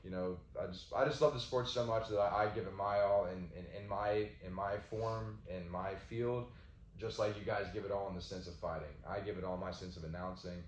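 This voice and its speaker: A very deep-voiced man from Southwest Florida rambles through casual talk, leaning on "like" and "you know". The sound is a bit dull and muffled. It bounces around an echoey room. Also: steady tone, fairly quick, slight background noise. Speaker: ring announcer and co-host. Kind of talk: casual chat.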